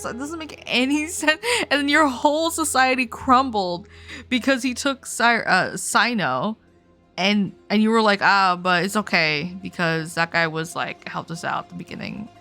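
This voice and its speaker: A woman's voice: mid-range voice, bright, forceful tone. A woman livestreamer giving casual, incredulous, slangy reaction commentary on a game.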